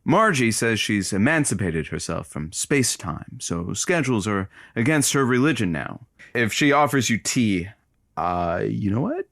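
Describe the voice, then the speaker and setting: A cocky, scruffy male slacker from a radio station comedy, full of sardonic wisecracks. His pitch jumps around in an animated way, and he sounds close and dry. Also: normal speed, gravelly, dry recording, heavily acted. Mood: sardonic, teasing. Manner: sardonic, joking. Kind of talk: scripted audio comedy drama.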